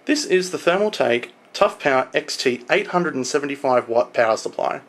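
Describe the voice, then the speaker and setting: A young adult man talking informally to a camera in a home room, explaining things in a halting, casual showcase style. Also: medium pitch, lively intonation, fairly bright tone. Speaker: tech vlogger. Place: small home room, indoors.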